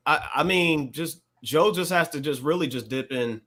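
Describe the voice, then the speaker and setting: A man's voice: fairly high pitch, normal speed, fairly bright tone. An American man giving casual hip-hop commentary as a panelist, explanatory and slangy. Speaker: podcast panelist.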